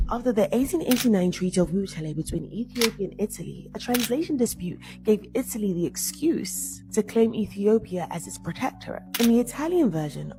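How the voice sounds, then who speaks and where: A woman's voice: medium pitch, poor audio. Woman narrating documentary over music. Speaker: history series presenter. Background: music bed.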